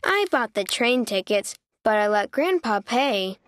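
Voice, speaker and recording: A woman narrating a children's storybook in an animated, childlike way, as an eager young critter telling the story. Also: fairly high pitch, unhurried, no room sound. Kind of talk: children's read-aloud narration.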